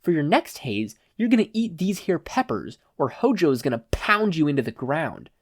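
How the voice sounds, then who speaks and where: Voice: medium pitch, very animated, moderate pace, breathy edge, no echo, clean studio sound, voice pushed up. A narrator voicing a menacing, mocking male yakuza thug with a taunting, folksy tough-guy manner. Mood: bullying.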